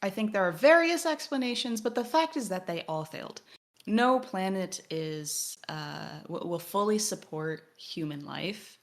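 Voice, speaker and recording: A woman's voice: mid-range voice, expressive, a little slow. A woman co-hosting a podcast, discussing a game analytically in a thoughtful, academic way. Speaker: podcast co-host.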